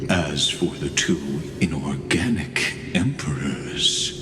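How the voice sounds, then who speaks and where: An actor playing an ancient, coldly patient undead machine mastermind in a video game, with a deliberate, theatrical delivery. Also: male voice, rumbling low. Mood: cold, musing. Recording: echoing hall reverb under music.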